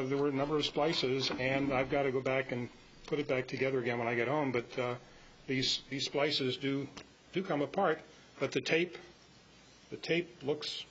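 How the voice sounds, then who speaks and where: An older man gives a halting, anecdotal talk to a club meeting. His voice is a little high for a man. The live recording is dull, with faint noise behind him. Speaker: club speaker presenting on audio tape. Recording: muffled, slightly noisy meeting-room recording.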